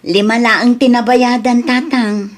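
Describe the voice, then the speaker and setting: A high-pitched daughter played by a woman in an Ilocano radio drama. She speaks earnestly, with a worried, pressing manner toward the people she deals with. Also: fairly even intonation, a little slow, lightly acted. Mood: concerned.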